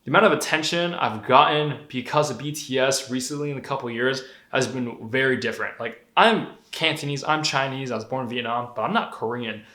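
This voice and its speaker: Young guy vlogging, cusses a lot, candid, bright sound, room echo all over it. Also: medium pitch, a little fast, hardly any pauses. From Denver, Colorado. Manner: candid, profane, animated storytelling. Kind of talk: casual monologue. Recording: echoey room.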